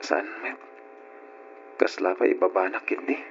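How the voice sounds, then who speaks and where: A man's voice: sits low, moderate pace, lightly acted. An actor with a northern Philippine accent playing an aggrieved, hesitant young man in a radio drama. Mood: hurt, resentful. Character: hurt, resentful young man, the slighted son. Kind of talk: Ilocano radio drama acting. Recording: dull, noisy old radio recording.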